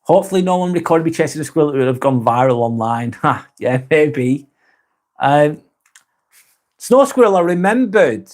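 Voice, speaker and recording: A man's voice: a little high, animated, moderate pace, darkish tone. An English man hosting a live vlog, chatting casually with viewers, often correcting himself and laughing easily. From England. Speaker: live vlog host reading chat. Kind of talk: casual livestream chat.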